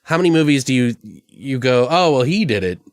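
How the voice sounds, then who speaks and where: A man's voice: medium pitch, brisk, no room sound. Guy podcast host riffing off the cuff, sweary and giggly, really dry close sound. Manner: profane, animated, giggly. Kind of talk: casual improvised comedy podcast banter.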